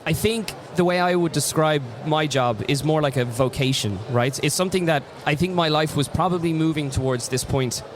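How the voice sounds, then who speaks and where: Man telling stories, slightly high voice. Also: fairly quick, hardly any pauses, a little hiss or hum. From Ireland. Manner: reflective storyteller, many restarts. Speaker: guest comic artist. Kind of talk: casual interview chat.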